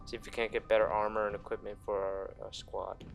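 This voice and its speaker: A man's voice: lowish voice, level tone, quick pace, vocal fry, soft background music. A man giving casual, sarcastic let's play commentary with plenty of swearing as he plays a video game. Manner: profane, sarcastic. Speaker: gaming video host.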